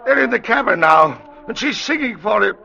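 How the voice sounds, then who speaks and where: Frantic sea captain, high strained voice. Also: male voice, expressive, normal speed, vocal fry, pushed and bright, heavily acted. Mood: terrified, frantic. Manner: frantic, agitated delivery. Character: distraught, jealous sea captain gripped by terror. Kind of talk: 1940s-50s radio drama acting. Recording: muffled vintage radio recording.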